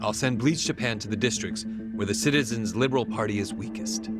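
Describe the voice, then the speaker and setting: A man acts out a cold, ambitious politician in a game cutscene. His fairly low voice is measured and commanding, with a condescending, threatening edge. Loud music plays under him. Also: lightly acted. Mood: calm, calculating. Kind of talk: English video game dub acting.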